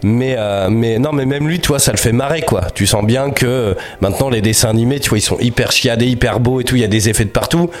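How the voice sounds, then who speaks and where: A man's voice: mid-range voice, fast, few pauses. A man with a cold, chatting casually and rambling about films and cartoons as podcast co-host. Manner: lively, rambling, slangy. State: has a cold.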